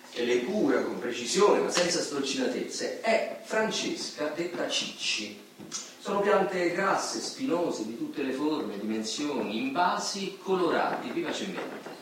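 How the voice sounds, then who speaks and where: An actor playing a professor who narrates a literary stage piece deliberately, phrase by phrase. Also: male voice, a little high. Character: male narrator, a professor observing the neighborhood. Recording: echoey hall, distant mic, noisy.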